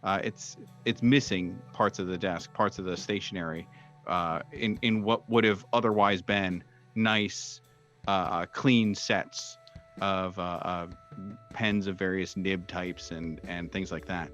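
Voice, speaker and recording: Low-voiced man narrating haltingly over music. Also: steady tone, fairly bright tone. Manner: hesitant, halting, searching for words. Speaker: game master (Keeper) of a horror tabletop game. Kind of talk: improvised tabletop game narration. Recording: dull, muffled sound with music behind. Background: background music.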